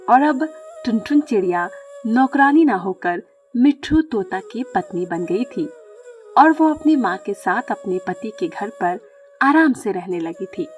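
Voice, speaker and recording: Casting: female narrator for kids' stories, high register, scripted storytelling read over soft music.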